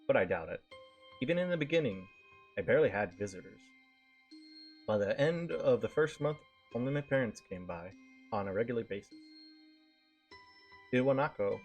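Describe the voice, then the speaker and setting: A man streams himself reading a visual novel aloud in a mid-pitched, very animated voice. He drops in casual asides, stumbles over harder words and swears freely, with soft music underneath.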